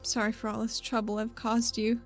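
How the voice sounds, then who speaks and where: A woman's voice: a little high, monotone, normal speed, faint music behind, natural voice. Woman playing an earnest, hesitant woman adventurer. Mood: concerned. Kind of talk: in-character tabletop roleplay.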